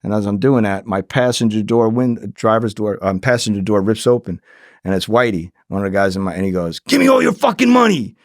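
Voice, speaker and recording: A man's voice: fairly low pitch, big pitch swings, a little fast, no echo, clean studio sound. A middle-aged man telling anecdotes casually as a podcast guest, acting out the dialogue and swearing freely. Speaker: podcast guest, former undercover agent.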